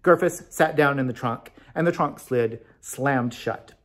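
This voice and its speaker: A man reading a storybook tale aloud as narrator, in an expressive scripted style. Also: mid-range voice, measured pace. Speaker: story reader.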